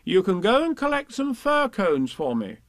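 A man's voice: high-pitched, wildly swooping pitch, normal speed, no echo, studio quality, pitched above the performer's own voice, exaggerated voice. A man voicing a kind, firm mother kangaroo in a storybook reading. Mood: brisk.

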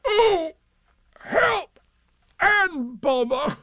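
A man voicing a simple, hungry, hapless toy bear in a children's story, slow, hesitant and plaintive. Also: mid-range voice, lively intonation, a little mellow, dry recording, studio quality. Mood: distressed, wailing.

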